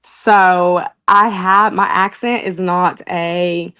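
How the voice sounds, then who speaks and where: A young woman with a Southern accent from the Oklahoma-Texas border answers interview questions in a relaxed, talkative way. Her voice sits low for a woman, and she laughs easily between points. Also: slow pace, clean tone. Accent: Texoma Southern US. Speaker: political candidate interviewed. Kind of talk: casual interview.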